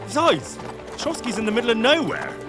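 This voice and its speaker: An actor playing a dutiful, sensible young commoner bodyguard, sarcastic and down-to-earth, in a video game cutscene. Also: male voice, extremely high pitch, wildly swooping pitch, a little fast, music in the background, exaggerated voice. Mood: cautious.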